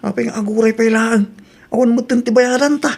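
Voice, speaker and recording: A man's voice: extremely high pitch, steady tone, a little fast. A man with an Ilocano accent playing an easygoing, cheerful husband in an audio drama.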